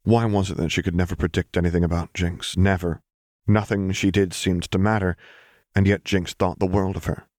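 A man's voice: low-pitched, level tone, normal speed, few pauses, a little mellow, dry recording, clean studio sound. A man reading a scripted story as an audiobook narrator.

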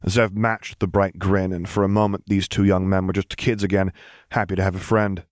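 A man's voice: deep voice, flat delivery, normal speed, no echo, studio quality. A man reading scripted fiction as an audiobook narrator.

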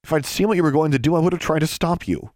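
A man's voice: on the high side, racing, darkish tone, dry recording, clean studio sound, voice pushed up, close to an everyday voice. A man voicing an exasperated teenage boy who talks bluntly to a friend.

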